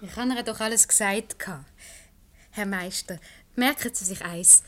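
A woman's voice: mid-range voice, a little slow, a bit bright, natural voice. An actress with a Swiss German accent playing a clever, teasing woman in a radio comedy. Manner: teasing, self-possessed. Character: clever, teasing, confident young woman, wife's scheming friend. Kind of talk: comedic radio play acting.

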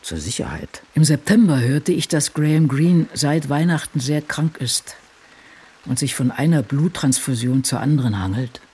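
A man playing an ageing, solitary woman crime novelist in a radio drama, musing in a deliberate, wry way. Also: a little high, animated, darkish tone, breathy edge. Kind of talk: literary radio drama monologue, reading diaries and drafts.

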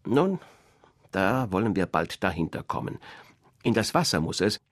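A man's voice: sing-song, normal speed, dry recording, clean studio sound. A man reading a fairy tale aloud, voicing a fussy, proud mother duck in a raised, scolding voice. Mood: fretting. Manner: shrill, scolding, bossy. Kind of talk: storybook reading.

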